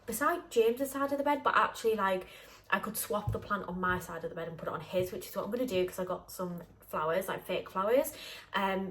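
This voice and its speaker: A woman's voice: mid-range voice, fairly bright tone. A young woman, chatting casually to camera in a home vlog, rambling with lots of fillers. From North Yorkshire, England. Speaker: vlogger. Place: at home, indoors.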